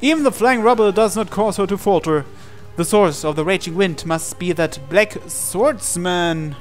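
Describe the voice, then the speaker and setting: A man reading a visual novel aloud as a dramatic narration, with deliberate pauses. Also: high voice. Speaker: gaming channel host. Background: game music.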